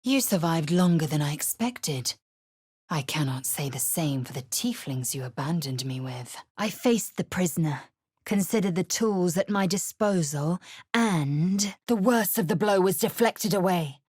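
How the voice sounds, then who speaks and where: Fierce female alien warrior, lowish pitch, husky breathy edge, clipped haughty delivery, video game, dry close sound. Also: lively intonation, darkish tone, no echo. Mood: stern, disdainful. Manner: clipped, imperious, deliberate. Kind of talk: scripted video game character lines and narration.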